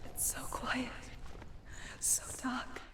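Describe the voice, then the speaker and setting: A woman playing a frightened young warrior in a video game, breathy, hesitant and fragile. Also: drawn-out words. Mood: fearful, lost. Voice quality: breathy, whispery. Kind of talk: cinematic video game acting.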